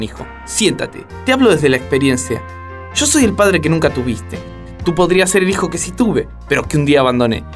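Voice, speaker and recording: A man playing a pompous, fatherly, long-winded gang boss in a solemn parody radio drama. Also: fairly high pitch.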